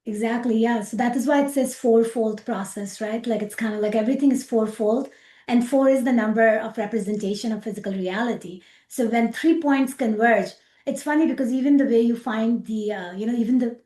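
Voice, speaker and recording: A middle-aged woman with a Pakistani accent, an interview guest talking about her work with animated repetitions and fillers. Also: a little high, fairly quick, echoey room. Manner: animated, repeats words, frequent fillers, laughs easily. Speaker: rocket scientist guest. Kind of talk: casual interview chat.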